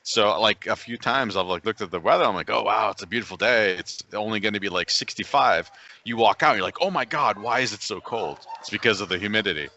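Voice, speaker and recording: A man co-hosting a podcast, chatting casually with a loud voice over a video call from a coffee shop. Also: fairly low pitch, expressive, fast talker. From New York State, USA. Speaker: podcast co-host. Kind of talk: casual chat. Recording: dull lo-fi call audio.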